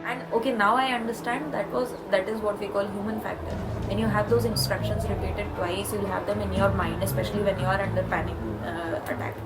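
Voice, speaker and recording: A woman's voice: medium pitch, steady tone, brisk, few pauses, poor audio. A woman with an Indian accent recalling her work as an air traffic controller in an informal, conversational interview. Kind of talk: interview recollection. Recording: loud music behind voice. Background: loud background music.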